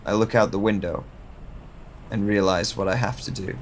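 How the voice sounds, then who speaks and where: A man playing a bewildered, introspective amnesiac who narrates an audio drama in a measured, deliberate way. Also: fairly low pitch, steady tone, a little hiss or hum, close to an everyday voice. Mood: questioning, resolute.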